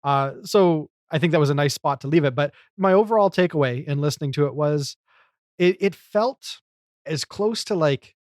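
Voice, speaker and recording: Guy with a slightly high voice rambling on a podcast, conversational, really dry sound. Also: moderate pace, darkish tone, dry recording. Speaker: podcast guest commentator. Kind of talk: casual podcast discussion.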